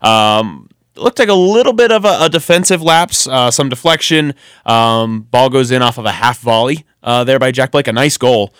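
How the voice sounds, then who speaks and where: A man's voice: medium pitch, expressive, normal speed, fairly bright tone, clear, resonant tone, no echo. A man co-hosting a sports podcast in a radio studio, chatting casually and rambling through lots of ums and restarts. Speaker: podcast co-host.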